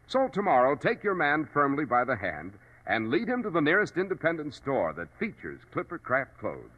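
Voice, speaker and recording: A man's voice: a little high, huge pitch swings, moderate pace. An American man working as a radio announcer, delivering scripted sponsor copy in an emphatic, polished 1940s style. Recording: dull old radio broadcast recording.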